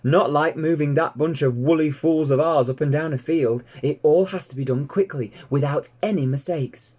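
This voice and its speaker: A man voicing a kindly, knowing mother sheepdog who explains things gently in a storybook reading. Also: on the high side, moderate pace, breathy edge, voice pushed up.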